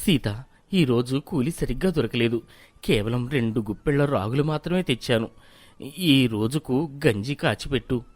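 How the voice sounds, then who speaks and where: Man acting as humble wronged villager, younger brother, plaintive, soft, dry storybook recording. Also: high voice, big pitch swings, moderate pace, no room sound. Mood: weary, grateful.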